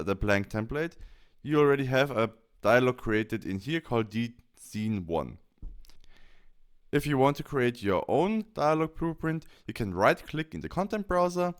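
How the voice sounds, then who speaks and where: Male tutorial presenter, smooth clear voice, very varied intonation, informal step-by-step explaining. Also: fairly low pitch. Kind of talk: casual software tutorial narration, step by step.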